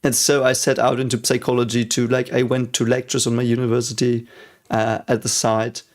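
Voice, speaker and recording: A man's voice: medium pitch, completely flat delivery, a little fast. A man in his thirties with a German accent, chatting casually on a live stream and answering chat, rambling with many fillers and restarts.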